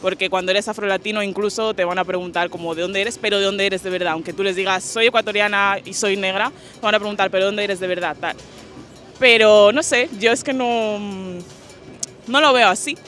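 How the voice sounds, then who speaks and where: A woman's voice: medium pitch, brisk, fairly bright tone. A young woman from Spain answering street interview questions in a thoughtful, casual and slangy way. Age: young adult.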